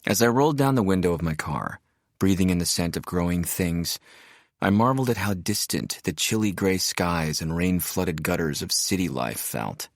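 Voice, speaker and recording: A man narrating an audiobook in the first person as a reflective architect, in a measured, descriptive storytelling manner. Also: sits low, expressive, gravelly, no echo, clean studio sound. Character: reflective male architect narrating his arrival in a seaside town.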